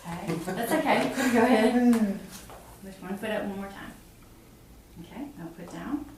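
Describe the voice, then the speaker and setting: A woman's voice: medium pitch, moderate pace, a little mellow. A woman giving a clinical exam, politely reassuring the patient and explaining each step as she goes. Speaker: examiner performing a physical assessment. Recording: echoey room, distant mic, clear background noise.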